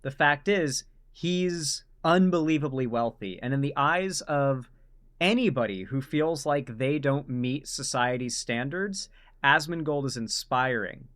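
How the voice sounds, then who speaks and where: A man in the host's seat of a reaction show, delivering an emphatic and mocking rant. His voice sits at an ordinary middle pitch. He presses hard on his points as he goes. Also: unhurried, a bit bright. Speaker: commentary host. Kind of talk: reaction commentary.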